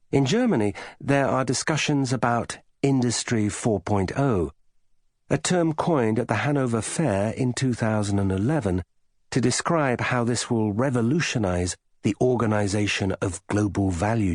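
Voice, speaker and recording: A man narrating a non-fiction audiobook in a measured, formal, carefully phrased way. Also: medium pitch, no echo, studio quality.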